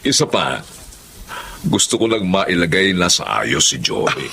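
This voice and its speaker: Animated, doting sixty-year-old Filipino stepfather. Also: low-pitched, a little slow, vocal fry, a little hiss or hum, heavily acted. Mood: eager, fatherly. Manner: fatherly, chatty, full of interjections. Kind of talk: Filipino radio-style family drama acting.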